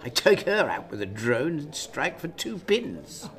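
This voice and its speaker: Actor as a animated, exasperated Minister. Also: male voice, fairly high pitch, measured pace. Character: exasperated, sharp-tongued British Prime Minister under pressure. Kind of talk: radio drama acting.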